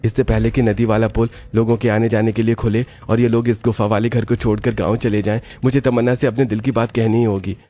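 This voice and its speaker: A man's voice: lowish voice, level tone, a little fast, few pauses, lo-fi sound, lightly acted. A man voicing a helpful, lovestruck young man in a cartoon story. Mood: eager, musing.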